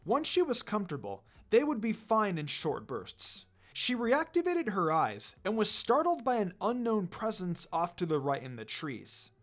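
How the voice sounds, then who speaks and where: A man's voice: high-pitched, wildly swooping pitch, normal speed, few pauses, fairly bright tone. A man reading a story aloud as a dramatic narrator, with music behind him. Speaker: fan fiction reader. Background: background music.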